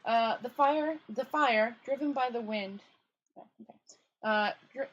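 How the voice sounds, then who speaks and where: A woman with a high-pitched, husky voice reads a story aloud. Her delivery is informal and homemade, with stumbles, self-corrections and chatty asides. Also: takes it slowly, highs cut off. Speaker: storyteller reading a novel aloud. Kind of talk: amateur read-aloud with casual asides.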